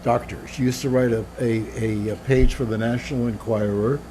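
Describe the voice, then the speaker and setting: A woman's voice: extremely low pitch, fairly even intonation, moderate pace, mellow, slight background noise. A woman chiming into a chat, hesitant and searching for names as she talks. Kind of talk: casual chat.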